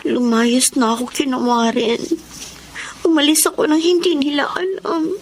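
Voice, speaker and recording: A woman's voice: high voice, little pitch movement, normal speed. A woman acting a distressed, tearful young wife, abused and overworked, in a Filipino radio drama. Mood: urgent. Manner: tearful, pleading. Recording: noisy radio drama track.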